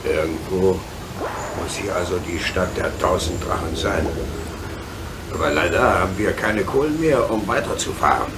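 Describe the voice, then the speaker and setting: An actor playing a steady, fatherly locomotive driver in a children's radio play, plain-spoken and reassuring. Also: moderate pace. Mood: puzzled, curious. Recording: loud rumbling train noise behind.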